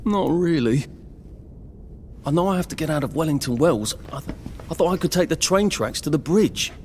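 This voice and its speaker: A man's voice: a little high, expressive, moderate pace, a little mellow, a little hiss or hum, close to an everyday voice. An actor playing a polite, anxious young man with a British accent in a video game, hesitant and wry. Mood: uneasy, polite. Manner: hesitant, sardonic.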